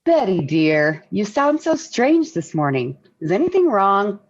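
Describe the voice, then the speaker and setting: Unhurried American radio mother. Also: medium pitch, sing-song. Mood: gently concerned. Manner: measured, motherly. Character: gentle, sensible, concerned suburban wife and mother. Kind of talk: 1940s radio sitcom acting. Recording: dull vintage 1940s radio recording.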